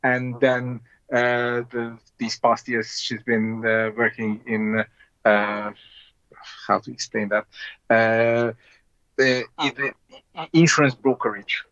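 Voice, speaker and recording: A man's voice: mid-range voice, level tone, slow pace, fairly bright tone, no echo. A man in his thirties from Hungary with a Hungarian accent, chatting casually as an interview guest. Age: 30s. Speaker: guest, board game designer. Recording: dull, lo-fi online call audio.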